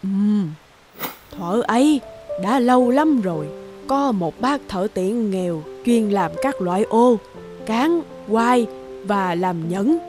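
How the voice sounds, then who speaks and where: A woman's voice: medium pitch, lively intonation, slow, music in the background. A woman playing a caring old grandmother in a cartoon, who chides, teases and reassures. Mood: teasing, storytelling. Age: older. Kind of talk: cartoon dubbing.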